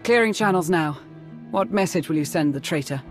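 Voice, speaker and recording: An actress playing a loyal, shrewd female intelligence chief in a dramatic video game, with a crisp, formal manner. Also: on the low side, lively intonation, brisk, soft background music, lightly acted. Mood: brisk.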